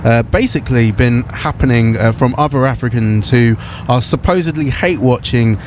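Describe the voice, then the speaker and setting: A man's voice: mid-range voice, normal speed, darkish tone, slight background noise. A man presenting live sports news and putting questions to guests.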